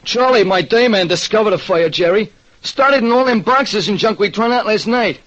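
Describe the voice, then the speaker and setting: A man voicing a gloomy, excitable working-class American diner owner in 1940s radio style, plaintive and slangy. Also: high voice, normal speed, pitched above the performer's own voice. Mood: grumbling. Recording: dull, noisy old radio recording.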